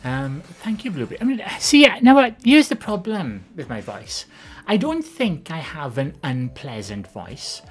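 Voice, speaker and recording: Soft-spoken Welsh woman chatting on stream. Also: sing-song, unhurried, hardly any pauses, husky, faint music behind. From Wales, the Valleys. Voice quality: soft, gentle voice with a lower, less feminine tone. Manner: chatty and rambling, with many ums and self-corrections. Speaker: live streamer answering viewer questions. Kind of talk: casual live-stream chat.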